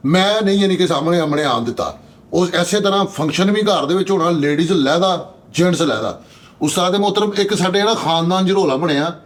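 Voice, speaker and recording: Higher-pitched man with a Pakistani Punjabi accent. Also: brisk. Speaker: comedy show regular telling stories. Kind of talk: casual chat, anecdote telling.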